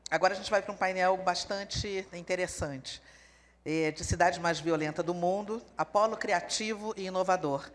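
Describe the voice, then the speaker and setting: A man's voice: high-pitched, a little hiss or hum. A man hosting a live event, welcoming the audience back and introducing the next speaker. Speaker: event host. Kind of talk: stage introduction. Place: large hall at a live event. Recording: echoey hall with a faint crowd.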